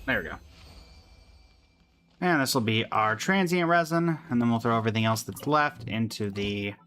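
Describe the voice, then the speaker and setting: A man's voice: medium pitch, normal speed, fairly bright tone, smooth. A man streaming a video game, giving casual live commentary, greeting viewers and making playful asides to himself and the characters.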